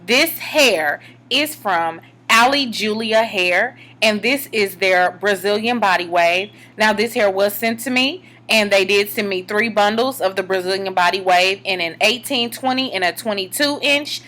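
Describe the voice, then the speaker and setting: A woman's voice: mid-range voice, a little slow, pushed and bright. A young woman vlogger with a nasal, congested voice from a cold, chatting casually and reading viewer letters aloud with her own reactions. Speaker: vlogger giving advice. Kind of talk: casual advice vlog.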